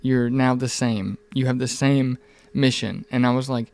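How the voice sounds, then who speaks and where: A man's voice: medium pitch, steady tone, moderate pace, creaky, darkish tone. A man in his mid twenties chatting candidly on a podcast in an informal, rambling, earnest way, with some swearing. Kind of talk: casual, candid podcast conversation.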